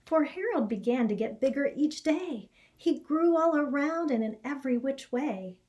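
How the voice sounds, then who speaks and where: An American woman in her forties reading a children's picture book aloud with expressive storytelling. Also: sits high, moderate pace. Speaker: elementary school educator reading aloud. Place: small indoor classroom or office.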